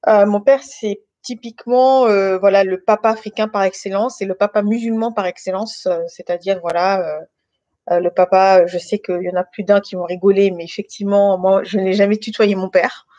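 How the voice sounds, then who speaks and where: A woman in her thirties from France, speaking informally and haltingly as she shares a personal testimony with a group. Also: medium pitch, fairly even intonation, warm tone, no echo, muffled recording. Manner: hesitant, halting delivery with many pauses and repetitions. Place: living room at home.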